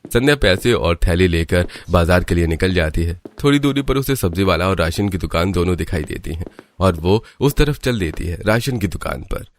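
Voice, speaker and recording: A man's voice: deep voice, lively intonation, moderate pace, a little hiss or hum. A man narrating a scripted cartoon story. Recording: music behind the voice. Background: background music.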